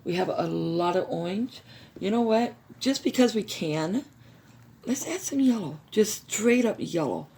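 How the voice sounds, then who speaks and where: A woman with a hoarse, recovering voice hosting a craft how-to video, chatting casually with viewers. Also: mid-range voice, expressive, measured pace, darkish tone. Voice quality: gravelly. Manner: chatty, addresses viewers as you guys.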